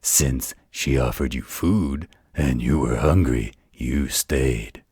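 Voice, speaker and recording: A man playing a gentle, patient werewolf who tells a bedtime story in a soothing, tender manner. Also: rumbling low, slow pace, breathy edge, no room sound, clean studio sound, full character voice. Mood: soothing storytelling. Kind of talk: intimate bedtime roleplay storytelling.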